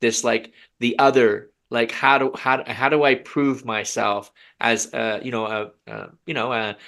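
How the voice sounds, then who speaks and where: A man hosting a podcast discussion, talking earnestly in halting bursts with many restarts. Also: medium pitch, fairly even intonation, vocal fry, fairly bright tone. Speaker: podcast host. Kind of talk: casual discussion.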